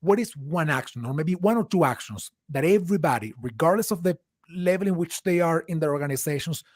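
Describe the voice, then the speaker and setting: A man's voice: a little high, lively intonation, a little fast, dry recording, clean studio sound. A man hosting a live interview chat, asking long, earnest, reflective questions with frequent restarts. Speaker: host.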